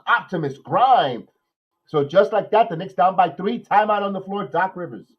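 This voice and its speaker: A man, nasal from a cold, hosting a watch-along podcast, calling plays with excitement and then rambling through his opinions. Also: high-pitched, moderate pace, husky, highs cut off. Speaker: podcast host doing live play-by-play. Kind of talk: watch-along game commentary and chat.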